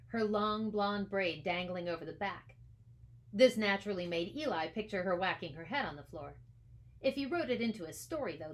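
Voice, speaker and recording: Female storybook narrator, medium pitch, expressive scripted read, author reading aloud. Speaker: author reading their own book aloud.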